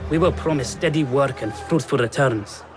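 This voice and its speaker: A desperate male labourer, played by an actor, pleads urgently in a medium-pitched voice. It is dramatic video game acting, with music underneath. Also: normal speed, close to an everyday voice. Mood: desperate, pleading.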